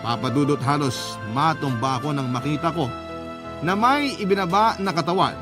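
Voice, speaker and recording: A man's voice: on the high side, moderate pace, natural voice. A man playing a worried, devoted storyteller, giving an earnest first-person radio drama narration. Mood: shaken. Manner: earnest, emotional. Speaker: letter-sender narrator. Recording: music bed under narration.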